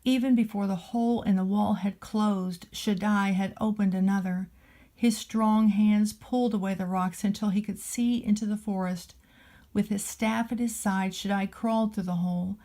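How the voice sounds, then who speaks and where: Mellow woman reading a children's storybook. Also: medium pitch, steady tone. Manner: gentle, deliberate storytelling for a child. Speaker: storybook reader, nanny.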